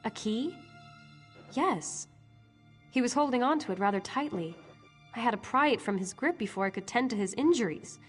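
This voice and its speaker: A woman playing a brisk, no-nonsense battlefield healer in a fantasy video game, speaking in a dry, matter-of-fact way. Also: on the high side, expressive, soft background music, lightly acted. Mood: wry. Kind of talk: scripted video game dialogue.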